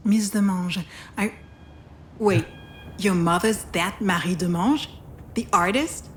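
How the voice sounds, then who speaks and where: An actress playing a frank hospital doctor in a video game, measured and clinical in delivery. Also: female voice, medium pitch, slight background noise, lightly acted. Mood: surprised. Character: composed, frank female hospital doctor breaking bad news. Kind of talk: naturalistic video game acting.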